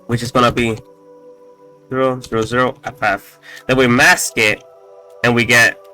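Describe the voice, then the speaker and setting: Halting, bright-voiced man explaining code. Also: mid-range voice. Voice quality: raspy. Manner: halting, full of fillers and restarts, profane asides. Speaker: coding tutorial host. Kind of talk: casual screen-recorded coding walkthrough.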